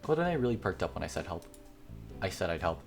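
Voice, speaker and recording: A man reads a visual novel aloud in a low, animated voice. He hosts casually, mixing narration with off-the-cuff asides, over game music. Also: quick pace, gravelly. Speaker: let's play host reading a visual novel aloud. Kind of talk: casual dramatic reading with reaction asides. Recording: music behind the voice.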